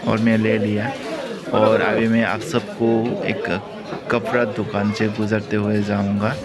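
Low, monotone man vlogging. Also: unhurried. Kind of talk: casual walk-through vlog commentary. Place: outdoor street market, walking. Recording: loud busy outdoor crowd noise.

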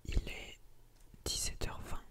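Slow whispering ASMR woman. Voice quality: whispered, breathy. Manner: slow, hushed delivery with short broken phrases. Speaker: ASMR video creator. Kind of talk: whispered ASMR, addressing viewers. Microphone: close microphone on a boom arm.

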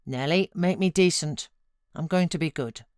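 A woman's voice: deep voice, moderate pace, smooth, no echo, clean studio sound, lightly acted. A British woman voicing a sulky, proud, self-pitying boy in a storybook reading. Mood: resolute.

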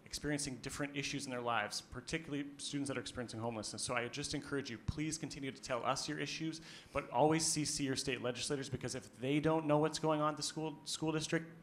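A man's voice: mid-range voice, slight background noise. A man in his thirties speaking earnestly and emphatically into a handheld mic at a school board meeting, with frequent ums. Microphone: handheld microphone held close.

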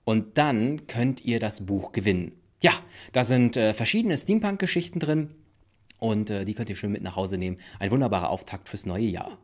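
A man's voice: lowish voice, very animated, moderate pace, darkish tone. A man in his thirties presenting in a casual promotional chat. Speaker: presenter, writer and actor. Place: living room, indoors.

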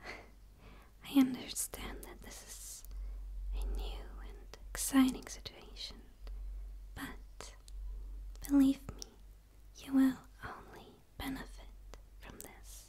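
A young woman playing a gentle, curious alien in a whispered ASMR roleplay, speaking in a soothing, hushed way with pauses, close to the microphone. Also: high-pitched, completely flat delivery. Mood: tender, curious. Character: gentle, curious dark female forest alien.

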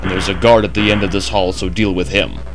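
A man's voice: low-pitched, steady tone, fast, over music. A man narrating a game walkthrough in a chatty way with jokey asides, just woken up. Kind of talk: casual gameplay commentary.

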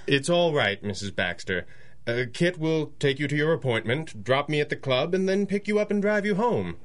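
A man's voice: on the high side, sing-song, normal speed. A man playing a genial, self-assured wealthy boss in a radio drama, polite and breezy. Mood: courteous. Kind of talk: 1930s-style radio drama acting.

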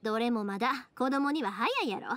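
関西弁の高くて元気な若いお姉さんを演じる女性. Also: female voice, fairly high pitch, fairly quick, dry recording, close to an everyday voice. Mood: passionate. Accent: Kansai. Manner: lively, reassuring, colloquial. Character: cheerful, big-sisterly young woman, fireworks maker. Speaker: video game character voice actor. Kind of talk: anime-style game dialogue.

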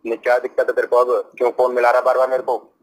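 A man's voice: medium pitch, completely flat delivery, quick pace. A young man with a North Indian accent, scolding and confrontational in a heated argument over a phone speaker. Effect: phone call on speaker. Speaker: prank call recipient.

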